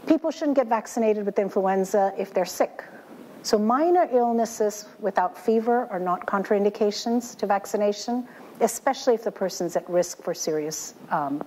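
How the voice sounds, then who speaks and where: A woman delivers a scientific talk in a measured, explanatory way. Her pitch sits in an everyday middle range. A faint background hiss runs under the recording. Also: darkish tone, low-quality recording. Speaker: infectious disease researcher.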